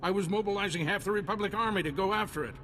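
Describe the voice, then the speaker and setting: An actor playing a hearty Republic military officer in a video game, talking in a folksy, emphatic, commanding way. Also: male voice, high-pitched, rapid-fire, a bit bright. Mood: grave, emphatic. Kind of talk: scripted video game dialogue. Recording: long reverb, faint noise and ambience.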